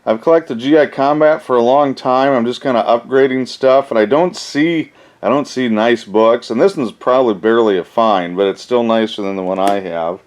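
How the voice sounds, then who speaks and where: A man's voice: medium pitch, normal speed. A middle-aged man, talking in a folksy, rambling, informal way as he casually shows off collectibles. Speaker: collector and seller showing his flea market finds. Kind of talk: casual show-and-tell vlog.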